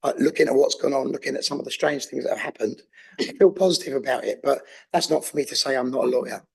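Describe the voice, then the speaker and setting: A man with a hoarse voice from a cold, speaking earnestly as a councillor moving a motion in a council chamber. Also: mid-range voice, fast talker. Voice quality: raspy, gravelly. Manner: earnest, persuasive, with some restarts. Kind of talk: council debate speech.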